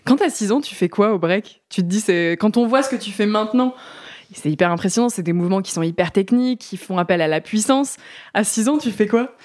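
Casual woman interviewer, medium pitch. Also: a little fast, darkish tone. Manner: informal, rephrases questions as she goes.

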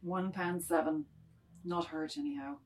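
A woman takes the part of a middle-aged man, kindly and anxious, in a dramatised novel reading. The voice sits on the low side for a woman. Lines come in clipped, thoughtful fragments. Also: sing-song, measured pace, creaky, heavily acted. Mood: musing, tender.

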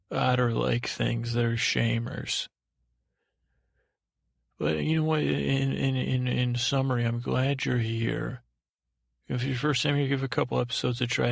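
A man with a heavily creaky, gravelly voice of medium pitch rambles through an absurdist bedtime monologue. He halts and doubles back on phrases as a podcast host, recorded close and very dry. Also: dry recording. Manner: meandering, halting, circling back on phrases.

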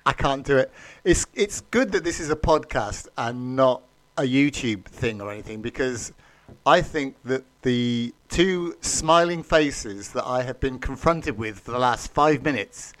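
A man's voice: medium pitch, lively intonation, a little slow, fairly bright tone, no room sound. A man co-hosting a football podcast, mock-dramatic and self-deprecating. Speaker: podcast co-host. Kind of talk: casual football chat.

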